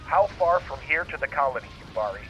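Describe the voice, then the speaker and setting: An actor playing a steady, concerned starship captain in an audio drama, speaking with firm urgency. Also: male voice, on the high side, fast talker, highs cut off, close to an everyday voice. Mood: firm reassurance. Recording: very noisy, sound effects over voice.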